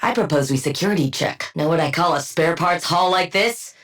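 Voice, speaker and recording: A woman's voice: sits low, lively intonation, a little fast. An actress playing a cool, sardonic female scientist supervillain in a video game, with precise diction and a clinical, condescending manner. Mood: smug, deadpan. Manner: clinical, condescending, dryly witty. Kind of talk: video game combat barks.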